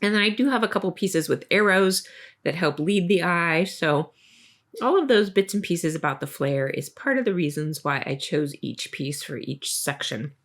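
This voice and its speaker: A woman with a slightly hoarse voice from a cold, hosting a casual craft tutorial. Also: on the low side, expressive, moderate pace. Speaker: host of a crafting video channel.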